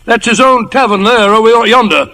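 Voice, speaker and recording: A very high-voiced, bright country squire in a radio play. He blusters heartily and excitably, tripping over words with gullible good cheer. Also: normal speed, full character voice. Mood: blustering. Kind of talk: old-time radio drama acting.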